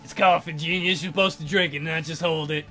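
A man voicing a caring, practical young woman from a visual novel, gently scolding and teasing. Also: fairly high pitch, fairly even intonation, fairly quick, faint music behind.

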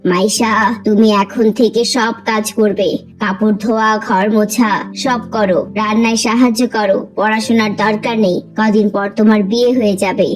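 A woman's voice: medium pitch, steady tone, moderate pace, soft background music, voice pushed down, natural voice, poor audio. A woman voicing a scheming, commanding stepmother in a cartoon, cold in manner. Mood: bossy, harsh.

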